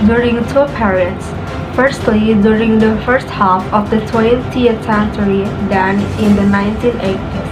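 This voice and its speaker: A woman's voice: on the high side, low-quality recording. A woman presenter reading scripted narration, with music behind her. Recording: loud music over the voice.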